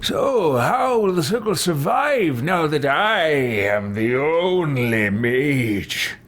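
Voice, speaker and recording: A man's voice: fairly high pitch, sing-song, takes it slowly, fairly bright tone, full character voice. A man voicing a haughty, self-serving male mage in a fantasy roleplay game, in a pompous theatrical manner. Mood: self-important.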